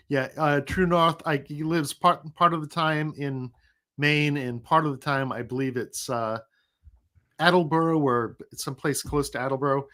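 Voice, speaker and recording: A man's voice: fairly high pitch, fairly even intonation, a little slow, a bit bright. A middle-aged man with a Rhode Island accent hosting a casual live chat, reading out viewer comments as he goes. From New England. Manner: chatty, reads out viewer comments. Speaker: livestream host.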